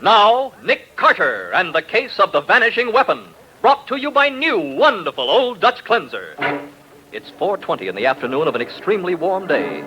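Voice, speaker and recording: A man's voice: sits high, sing-song, moderate pace, over music, rough recording. A man with an American accent announcing in a punchy, polished 1940s radio style. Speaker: announcer and narrator. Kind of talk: 1940s radio announcing, scripted ad read and narration.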